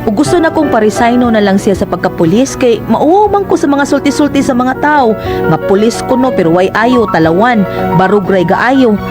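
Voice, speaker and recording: An exasperated wife played by a woman complains in Cebuano-accented radio drama style. Her voice sits a little high, nagging and fed up, and clear music plays behind her. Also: expressive, moderate pace, natural voice. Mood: weary, narrating. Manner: scolding, complaining.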